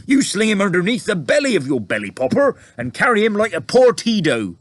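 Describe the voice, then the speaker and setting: A man voicing a huge, gentle male giant who talks in muddled words and broken grammar. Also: high voice, big pitch swings, a little fast, no room sound, pitched above the performer's own voice.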